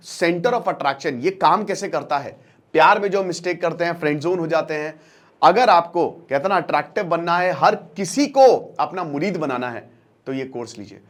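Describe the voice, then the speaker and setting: Male online educator, fairly high voice; informal storytelling lecture delivery with emphatic repeats. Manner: animated colloquial storyteller, emphatic repetition, rhetorical questions. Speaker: online educator and channel host.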